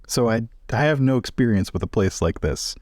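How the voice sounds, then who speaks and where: A man's voice: mid-range voice, expressive, a little fast, darkish tone, dry recording, studio quality. A man casually narrating his character's actions as a player in a tabletop roleplaying game.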